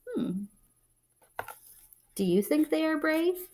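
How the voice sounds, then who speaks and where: A woman reading a children's picture book aloud, expressively, pausing to explain and put questions to young listeners. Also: sits high, very animated, darkish tone, slight background noise. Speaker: read-aloud storyteller and teacher-like reader.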